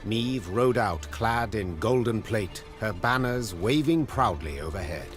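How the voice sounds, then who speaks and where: A man playing a solemn chronicler, narrating a fantasy video game in a grave, deliberate storybook manner. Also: on the low side, animated, soft background music. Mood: solemn, epic. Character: solemn male chronicler of a war saga.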